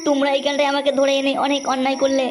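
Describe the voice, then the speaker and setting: Woman playing a high-voiced captive cartoon daughter. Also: monotone, racing, very noisy, natural voice. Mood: protesting. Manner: protesting, pleading. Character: captive young daughter, defiant, disbelieving, pleading. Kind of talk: comic cartoon dub.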